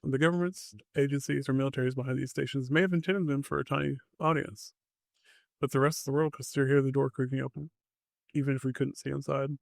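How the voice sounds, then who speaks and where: A man's voice: mid-range voice, steady tone, fast, gravelly, dry recording. A man hosting a podcast, reading scripted narration in short dramatic phrases with wry asides. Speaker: podcast host.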